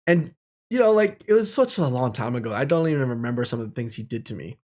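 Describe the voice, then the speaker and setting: A man's voice: mid-range voice, big pitch swings, quick pace, a little mellow. A young man telling personal stories in a casual, candid and reflective way, speaking informally. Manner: candid, informal, with mild swearing.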